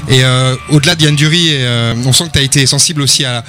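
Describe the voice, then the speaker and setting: A man's voice: mid-range voice, quick pace, sharp tone. A man hosting a radio interview, asking long, stumbling questions full of restarts. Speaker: radio host.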